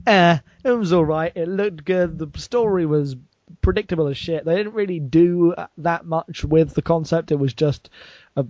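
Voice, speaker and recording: Guy with highish voice shrugging off movies on a podcast, dismissive, dull no-room sound. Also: normal speed, darkish tone, dry recording. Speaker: podcast panelist. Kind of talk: casual film discussion. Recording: dull lo-fi voice chat.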